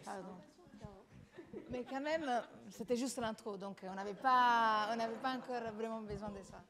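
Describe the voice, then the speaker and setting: A woman's voice: on the high side, normal speed. A woman with an Italian accent, Italian native speaker, presenting a student project on stage in a chatty, playful way. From Italy. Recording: live room with cheering crowd.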